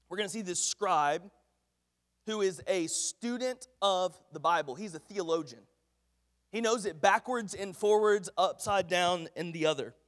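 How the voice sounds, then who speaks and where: A man preaching a folksy, earnest sermon while congested with a head cold. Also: high voice. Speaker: pastor. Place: church sanctuary. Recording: echoey church hall.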